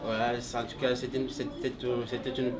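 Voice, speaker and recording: A man from France giving a hesitant testimony, with many pauses and restarts. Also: medium pitch, level tone. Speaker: visiting church member giving testimony. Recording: distant mic, crowd noise.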